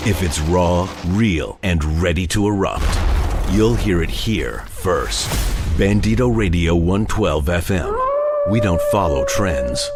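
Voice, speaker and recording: Deep, slow male radio promo announcer. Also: huge pitch swings. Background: loud music.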